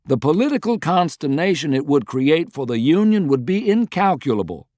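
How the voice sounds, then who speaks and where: Man playing a raised-pitch, eloquent, shrewd statesman. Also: sing-song, a little mellow, no echo, studio quality. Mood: emphatic persuasion. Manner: deliberate, articulate, rhetorical. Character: shrewd, eloquent male Confederate statesman, smooth and persuasive.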